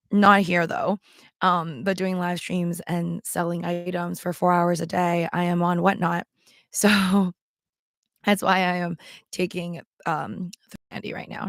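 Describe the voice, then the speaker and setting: A woman with a worn, raspy voice chats loosely to her viewers, full of ums and likes and a gravelly crackle. Her words are a little thick from the throat candy in her mouth. The sound is dry and close, with no room behind her. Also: fairly low pitch, no room sound. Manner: rambling, with many ums and likes; talking around a throat candy. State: strained, worn voice. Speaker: livestream seller and vlogger. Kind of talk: casual chat to camera.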